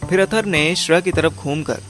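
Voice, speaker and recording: A man with a slightly high voice tells a first-person audio story. He narrates scenes dramatically, and soft music plays underneath. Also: a little fast. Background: background music.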